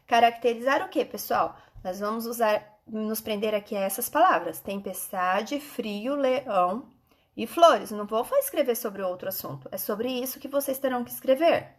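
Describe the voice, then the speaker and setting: A Brazilian woman with a fairly high-pitched voice speaks as a schoolteacher in an online lesson. She walks children through textbook exercises in an instructive manner, often pausing to check that they follow. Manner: instructive, frequent check-in questions.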